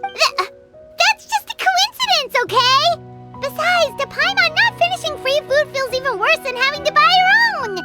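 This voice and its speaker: A woman voicing a tiny, childlike, greedy female game companion with a squeaky, exclamatory delivery. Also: expressive, normal speed. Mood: defensive. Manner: refers to herself by name, exclamatory. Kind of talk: video game character acting. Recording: background music behind voice.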